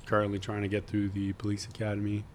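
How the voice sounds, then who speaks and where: Easygoing young man, low voice. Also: completely flat delivery, quick pace, darkish tone, slight background noise. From Florida, US. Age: young adult. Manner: easygoing, hesitant, many uhs. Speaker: guest. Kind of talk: casual interview chat.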